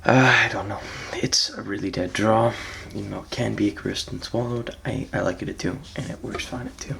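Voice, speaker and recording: A man with a raspy, just-woke-up voice, casually walking through his card deck with frequent ums and soft trailing phrases. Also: fairly low pitch, fairly even intonation, fairly quick, slight background noise. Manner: laid-back, hesitant, frequent ums, trails off softly. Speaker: card game player presenting his deck.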